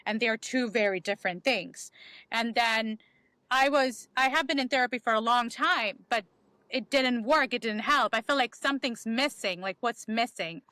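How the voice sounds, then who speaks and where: Bright, crisp woman. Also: fairly high pitch, normal speed, no room sound. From Bangladesh. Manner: reflective, frequent um fillers. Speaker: podcast guest and life coach. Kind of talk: candid personal storytelling.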